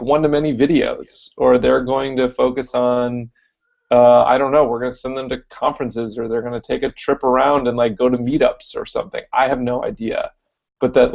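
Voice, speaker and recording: A man co-hosting a casual podcast chat, deep-voiced, thinking aloud with lots of fillers. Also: creaky, a little mellow, highs cut off. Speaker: podcast co-host.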